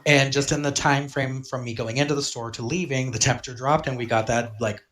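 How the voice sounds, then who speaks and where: A woman from the US East Coast co-hosting a podcast, bantering playfully and teasingly in a raspy, rumbling voice. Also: fairly even intonation. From United States East Coast. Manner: playful, teasing, quick to laugh. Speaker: podcast co-host. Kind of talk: casual banter.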